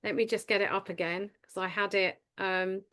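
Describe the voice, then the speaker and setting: A woman's voice: mid-range voice, steady tone, moderate pace, a bit bright. A woman hosting an online meeting, speaking in a friendly, hesitant way with many restarts. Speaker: meeting host.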